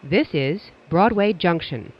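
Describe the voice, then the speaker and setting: A subway announcer delivers formal, scripted transit announcements in clipped stock phrases. The pace is slow, yet the pitch moves in lively swings. The audio is slightly dull and lo-fi.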